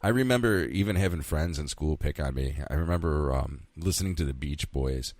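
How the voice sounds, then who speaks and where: A man's voice: rumbling low, a little fast, a little mellow, dry recording. A man in his fifties hosting a solo podcast, rambling casually, talking to himself and swearing now and then. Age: 50s. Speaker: podcast host.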